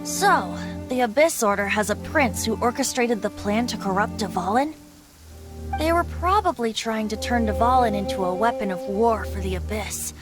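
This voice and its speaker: A voice actor playing a carefree young bard who is secretly a wind god, light, playful and lilting, over game music. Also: male voice, high voice, moderate pace, lightly acted. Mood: thoughtful. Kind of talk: video game voice acting. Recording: game cutscene with clear music.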